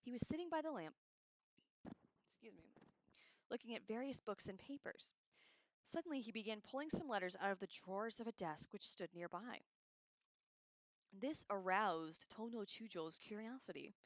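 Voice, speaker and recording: A woman hosting a livestream, casually reading aloud and breaking off into irreverent, sarcastic, slangy commentary in an expressive way. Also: mid-range voice, moderate pace, long pauses, dry recording, poor audio. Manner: expressive, animated asides. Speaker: livestream host.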